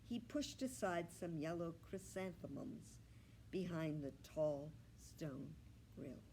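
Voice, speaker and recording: A woman reading a children's story aloud in an expressive narrating style, as a storytime reader. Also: deep voice, slow, slight background noise, rough recording.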